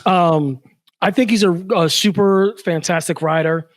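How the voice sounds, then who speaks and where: A man from the Bronx with a somewhat raised pitch, chatting as a podcast guest. He strings his thoughts together loosely, leaning on fillers. The sound is dry. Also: unhurried, no echo. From the Bronx, New York. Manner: chatty, fills his talk with "you know" and "uh". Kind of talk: casual podcast chat.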